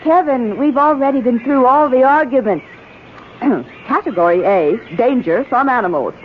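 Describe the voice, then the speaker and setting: A woman's voice: high voice, moderate pace, lightly acted. Woman playing a breezy, headstrong young adventuress. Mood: playful, stubborn. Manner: breezy, coaxing, self-assured. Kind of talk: radio drama acting. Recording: dull radio recording, faint noise.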